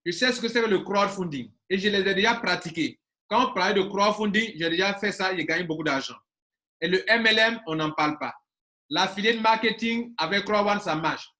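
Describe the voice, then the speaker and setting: Man, forties, high voice, bright tone, coach talking to viewers, emphatic, repeats himself. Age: 40s. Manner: emphatic, repeating phrases for stress. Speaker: online business coach. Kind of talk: casual talk to viewers.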